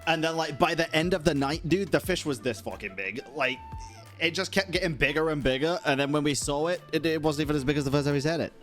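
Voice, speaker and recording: A man's voice: fairly high pitch, fast, fairly bright tone. A man streaming a game and reacting with casual, rambling, slangy commentary. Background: game music.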